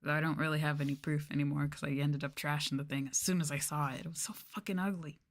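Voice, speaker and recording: A woman streamer chatting casually in a soft-spoken ASMR style, rambling and swearing as she goes. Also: low-pitched, fairly even intonation, fast. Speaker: VTuber streamer.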